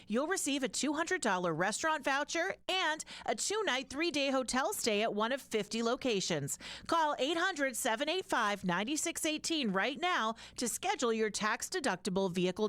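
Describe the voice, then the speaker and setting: A woman reading scripted radio commercials in an upbeat sales pitch. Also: fairly bright tone, dry recording. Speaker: ad announcer. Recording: music bed under voice.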